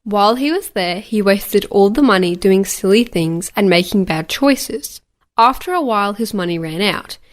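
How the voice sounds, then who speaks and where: A woman narrates a children's Bible story from a script. Her voice sits at an average female pitch and she tells it simply, line by line. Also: lively intonation, normal speed.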